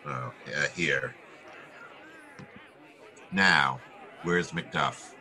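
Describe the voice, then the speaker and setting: A man's voice: extremely low pitch, very slow, sharp tone, a faint crowd, exaggerated voice. A man playing a wry, hard-boiled American private detective, tough and clipped, in 1940s radio drama style. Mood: brusque, probing.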